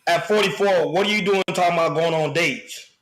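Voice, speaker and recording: A man's voice: sits high, fairly quick, fairly bright tone, reverberant, low-quality recording. A man in his thirties co-hosting a podcast, giving blunt opinions in casual talk. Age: 30s. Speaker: podcast co-host.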